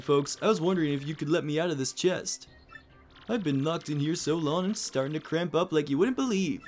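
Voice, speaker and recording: A man's voice: on the high side, a little mellow, natural voice. A man voicing a wisecracking male talking treasure chest with a slick, joking, salesman-like patter.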